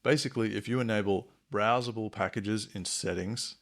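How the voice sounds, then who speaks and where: A man presents a screencast tutorial in a fairly low voice. There is a clear creak in it, and his delivery is measured and instructional, like someone walking a learner through each step. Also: fairly bright tone. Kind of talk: explanatory screencast tutorial narration.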